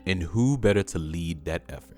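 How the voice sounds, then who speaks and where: Man acting an imperious, very deep-voiced schemer. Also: lively intonation, normal speed, warm tone, soft background music. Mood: scheming, persuasive. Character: imperious, impatient, scheming male government schemer with metal arm. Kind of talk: audio drama acting.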